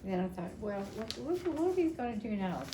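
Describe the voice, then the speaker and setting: A woman's voice: fairly high pitch, very animated. Older woman storytelling, echoey noisy room. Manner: halting, repeats phrases, chatty. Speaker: storyteller at a family memorial. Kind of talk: casual personal storytelling to a gathering. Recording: echoey room, clear background noise.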